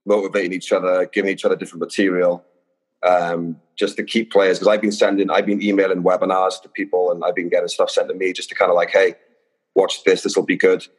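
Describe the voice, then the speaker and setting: A man from Wales with a Welsh accent, a guest on a podcast, talking in a relaxed, friendly way with many fillers. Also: low voice. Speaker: guest, youth soccer coach. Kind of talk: casual podcast interview. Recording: long reverb.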